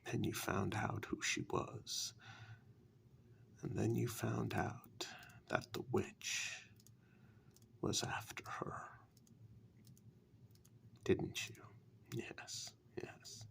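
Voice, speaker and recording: A man playing a sly, condescending warlock in a soft-spoken ASMR dark fairytale role-play, patronising and deliberate, with long pauses. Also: fairly low pitch, level tone, full character voice. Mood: smug, storytelling. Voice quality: soft, breathy half-whisper with gravelly creak.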